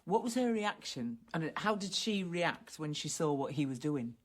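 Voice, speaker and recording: A woman acting a probing, measured adult questioner in a naturalistic radio drama, plain-spoken. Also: deep voice, very animated. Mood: probing, calm.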